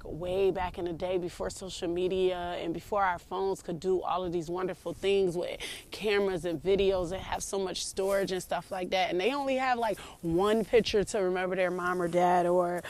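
A woman with a deep voice, reminiscing casually to her viewers in a heartfelt, rambling way. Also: darkish tone. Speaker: vlogger.

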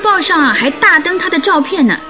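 An actress playing a curious, chatty, teasing wife in a radio detective drama, exclamatory and inquisitive. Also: female voice, extremely high pitch, lively intonation, a little fast, music in the background. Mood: excited, teasing.

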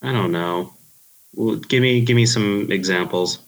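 New York man, lowish voice, sleepy deadpan monotone, casual podcast co-host. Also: unhurried, poor audio. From Queens, New York. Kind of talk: casual chat.

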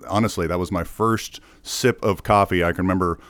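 Deep-voiced man with Dutch roots. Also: fairly even intonation, a little fast, a bit bright, dry recording, studio quality. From Netherlands. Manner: thoughtful, rambling with restarts. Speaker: podcast guest, corporate communications leader. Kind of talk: casual interview chat.

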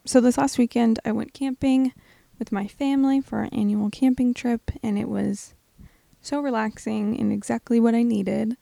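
A young woman hosting a casual advice podcast, her voice hoarse and raspy, chatting and laughing often while lying in bed at home. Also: a little high, fairly even intonation, normal speed, mellow, no room sound. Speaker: podcast host.

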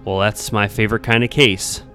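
A man's voice: lowish voice, steady tone, moderate pace, pushed and bright, faint music behind. A man playing a cocky, swaggering policeman who delivers corny tough lines in a story. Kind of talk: storytelling character voice.

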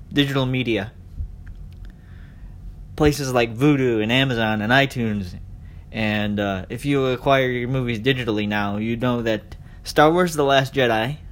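A man hosting a casual solo podcast, speaking conversationally and addressing his listeners directly. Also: fairly bright tone. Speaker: podcast host. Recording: noisy with low background rumble.

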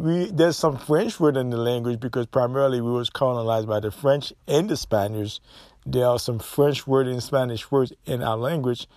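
A man's voice: mid-range voice, a little mellow, dry recording, clean studio sound. Emphatic man. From Haiti. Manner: opinionated, emphatic, repeats himself. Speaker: podcast host. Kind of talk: solo podcast monologue.

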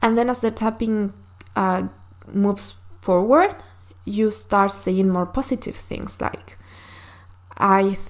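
A woman's voice: mid-range voice, slow, pauses often. A woman whose first language is Spanish, hosting a solo podcast and giving earnest, encouraging advice with frequent fillers and restarts. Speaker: podcast host.

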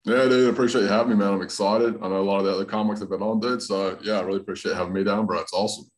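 A man's voice: on the low side, fairly even intonation, rapid-fire. A man from North Carolina with a mixed Southern US and Australian accent, a comedian chatting casually as a podcast guest. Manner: laid-back, says man and dude a lot.

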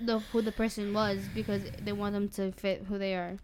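A woman's voice: mid-range voice, flat delivery, a little fast, a little mellow. A teenage girl co-hosting a casual student panel chat, explaining her views at length in an earnest way. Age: teens. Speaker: student co-host.

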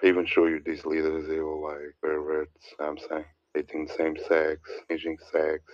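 Man, very deep voice, preachy rambling rant, dull lo-fi dry sound. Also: monotone, moderate pace, no room sound. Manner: preachy, rambling, repeats words, mocks others in a put-on high voice. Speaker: religious commentator. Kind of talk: heated monologue.

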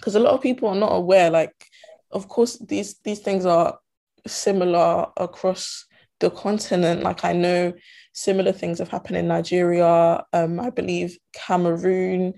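A woman's voice: lowish voice, little pitch movement, normal speed, gravelly, mellow. A young woman from Ghana and Togo talking earnestly in a casual conversation, with many fillers and restarts. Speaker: student guest. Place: diaspora.

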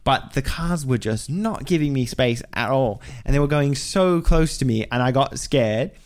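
Man, podcast host, lively up-and-down pitch, animated storyteller. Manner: animated storytelling, quick hedges. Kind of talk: casual chat.

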